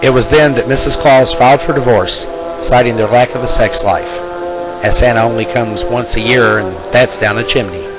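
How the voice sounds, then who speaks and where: A man's voice: mid-range voice, moderate pace, low-quality recording. A man narrating a scripted comic story in a mock-serious storytelling manner. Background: music.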